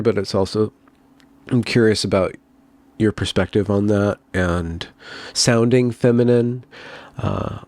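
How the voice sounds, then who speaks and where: A man hosting an interview over a video call, asking questions in a measured way while searching for words. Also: sits low, vocal fry, darkish tone, dry recording. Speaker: host.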